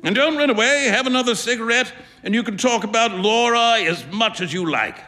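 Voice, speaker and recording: A man's voice: extremely high pitch, expressive, fairly quick, pitched above the performer's own voice, heavily acted. A man voicing a jovial male painter who teases his friend, read aloud on a stage. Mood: amused. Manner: bright, hearty, amused. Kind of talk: stage reading of a story. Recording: reverberant live hall.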